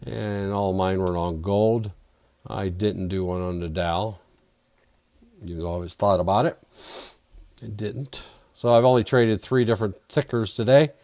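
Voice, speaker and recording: Low-voiced man losing his voice. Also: a little mellow, no room sound. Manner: chatty, answers viewer questions while reading charts. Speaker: live trading stream host. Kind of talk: casual live market commentary.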